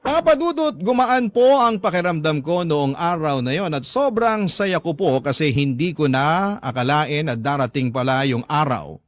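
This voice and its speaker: A man's voice: on the high side, dry recording, clean studio sound. A man with a Filipino accent, a radio narrator reading a listener's letter in the first person as a dramatic story.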